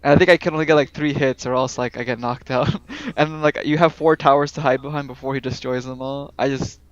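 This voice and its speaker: A man's voice: medium pitch, fairly even intonation, brisk. A man chatting casually about games as a podcast guest, in loose phrasing padded with fillers, on dull lo-fi audio. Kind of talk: casual gaming chat.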